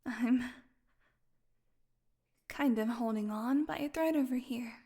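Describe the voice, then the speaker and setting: Slow, high-voiced, sardonic vampire woman played by an actress. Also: dark tone. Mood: hungry, pleading, strained. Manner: sarcastic, teasing, drifting into breathy whispers. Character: captive starved vampire woman, flirty, sardonic, taunting her hunter. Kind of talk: audio roleplay acting, intimate one-sided dialogue.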